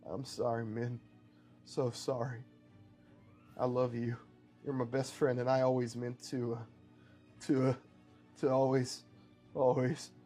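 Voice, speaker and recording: A man's voice: mid-range voice, steady tone, measured pace, dark tone, lightly acted. A man plays an earnest cowboy hero in a tabletop drama. He talks in a folksy, heartfelt way, full of emotion, with music underneath. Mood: weak, tender. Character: earnest, defiant male cowboy hero, tender and wounded.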